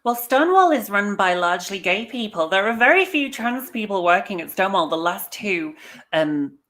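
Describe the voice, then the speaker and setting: A woman's voice: medium pitch, animated, normal speed, fairly bright tone. An author from England speaking as a guest over a video call, fervent and emphatic about her cause. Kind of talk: impassioned commentary.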